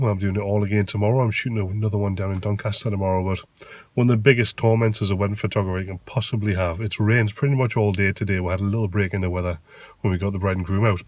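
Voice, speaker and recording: A man's voice: lowish voice, darkish tone, no room sound. A man who shoots weddings, chatting casually to camera, tired and nasal from a cold. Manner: chatty, rambling, down to earth. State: tired after a long day. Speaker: wedding photographer vlogger. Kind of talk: casual vlog chat to camera. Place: in a car arriving home.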